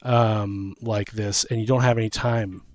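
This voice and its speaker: A man's voice: fairly low pitch, steady tone, unhurried, no room sound, soft background music. A middle-aged man chatting casually as a podcast host, rambling emphatically and often breaking off mid-thought. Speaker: podcast host and tabletop game master. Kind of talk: casual conversational chat.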